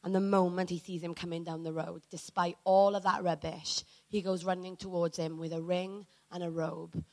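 A woman preacher from Wales telling a personal story in a sermon, animated and dramatic, acting out what people said. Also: on the low side, moderate pace, no room sound.

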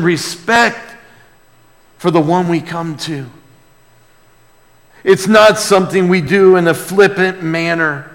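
A man's voice: high voice, fairly bright tone. A man preaching a sermon, speaking emphatically with repeated key words and rhetorical questions. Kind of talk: expository sermon. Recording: hall with long reverb.

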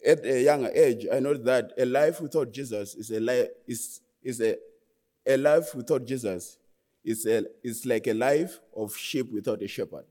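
A man in his twenties with a Zimbabwean accent, giving a personal testimony as a guest speaker in an earnest, halting way that often restarts phrases. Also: medium pitch, dark tone. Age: 20s. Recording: long hall reverb.

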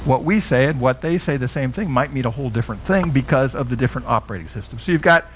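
A man's voice: mid-range voice, lively intonation, fast talker, a little hiss or hum. A middle-aged man teaching a Bible class in a conversational way, often putting questions to his listeners. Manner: conversational, asks the audience questions.

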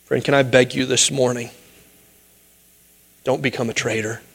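A man's voice: medium pitch, flat delivery, normal speed, creaky, breathy edge. A man preaching a sermon as pastor, pleading earnestly with his congregation and then telling a story with humorous asides.

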